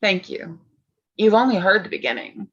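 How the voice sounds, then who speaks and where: A woman playing a composed, defiant young authoress telling a tale in a staged reading, measured and solemn. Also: mid-range voice, a bit bright, husky, muffled recording. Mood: composed, dry. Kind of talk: dramatic storytelling in staged reading.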